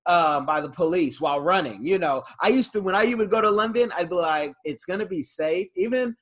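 Husky, higher-voiced man. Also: animated, fairly quick, a bit bright. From United States. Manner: storytelling, laughs between remarks. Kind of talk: casual conversation.

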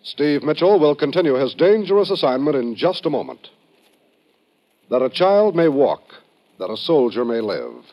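An American man announcing on 1950s radio in a scripted, solemn, measured style, on a muffled vintage recording. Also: fairly high pitch, big pitch swings.

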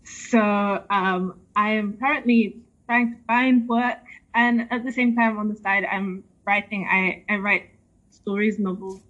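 A young woman raised in England, guesting on a podcast, soft-spoken and hesitant, with pauses. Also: mid-range voice, fairly even intonation, lo-fi sound. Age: young adult. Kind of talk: casual conversation.

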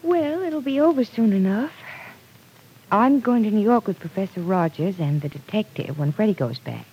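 Earnest, husky young radio heroine. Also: female voice, mid-range voice, animated, moderate pace, dark tone, low-quality recording. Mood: earnest, defensive. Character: earnest, stubbornly loyal young woman defending a boy. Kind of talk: old-time radio soap opera acting.

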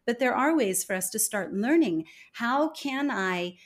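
A woman's voice: a little high, lively intonation, unhurried. An American woman speaking as a podcast guest, explaining ideas in an articulate, emphatic way. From United States. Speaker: podcast guest, accent expert. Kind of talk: conversational interview.

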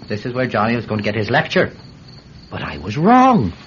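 An actor playing an eager Irish peat seller testifying in court in a classic radio drama, hesitant, stammering and forever digressing. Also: male voice, medium pitch, huge pitch swings, a little fast. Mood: storytelling. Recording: muffled, hissy vintage radio recording.

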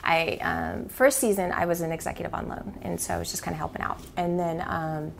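A woman executive being interviewed, upbeat and animated, laughing often as she talks. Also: on the low side, fairly quick, creaky, slight background noise. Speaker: sports team executive, guest. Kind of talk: casual interview.

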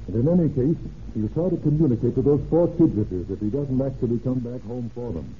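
An actor playing a brisk, plain-spoken county sheriff in an old radio crime drama, matter-of-fact and clipped. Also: male voice, medium pitch, lively intonation, lightly acted. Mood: businesslike. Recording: tinny, noisy old radio recording.

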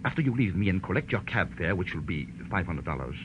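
Nervous, fussy dealer, noisy recording. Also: male voice, deep voice, very animated, fast talker. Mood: tense, instructing. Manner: flustered, hesitant, insistent. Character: round, nervous, fussy male dealer, furtive and urgent. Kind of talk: 1940s radio drama acting. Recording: noisy, dull vintage radio recording.

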